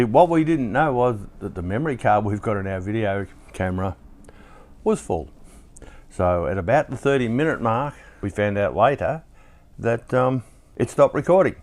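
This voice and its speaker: A man hosting a casual hobby build tutorial. His voice is slightly croaky after being ill, and he talks in a chatty, easygoing way, thinking aloud as he works. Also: medium pitch. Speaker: hobby shop host building a model kit.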